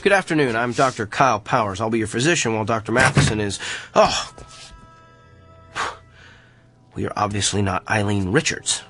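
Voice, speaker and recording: A man's voice: lowish voice, quick pace, sharp tone, soft background music. Brusque, self-assured male doctor. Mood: puzzled, polite. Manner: precise, argumentative, formal wording. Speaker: actor in a radio drama. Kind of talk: dramatic radio acting.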